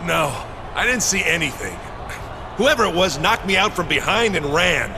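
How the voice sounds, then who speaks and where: Game cutscene: protesting wrestling champ, gravelly and lively, over noisy ambience. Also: male voice, high voice, normal speed. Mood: indignant. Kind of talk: video game cutscene acting.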